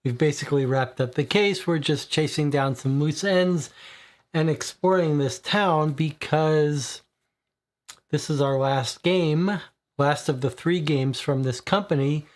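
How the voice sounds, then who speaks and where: A man in his forties with a slightly hoarse voice, hosting a live board game stream in a relaxed, explanatory way from a room full of bookshelves. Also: fairly high pitch, unhurried. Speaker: board game show host. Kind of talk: livestream talk.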